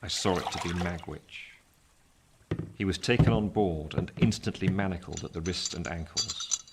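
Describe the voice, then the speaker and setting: Deep-voiced Victorian gentleman narrator. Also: heavy background noise. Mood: grave. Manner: literary, measured storytelling. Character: earnest Victorian gentleman narrator recalling his past. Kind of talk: first-person dramatic narration, radio play. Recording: clear ambient sound effects behind voice.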